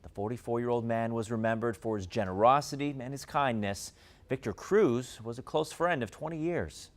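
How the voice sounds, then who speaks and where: A man's voice: medium pitch, normal speed. Male American news anchor. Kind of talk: scripted broadcast news reading.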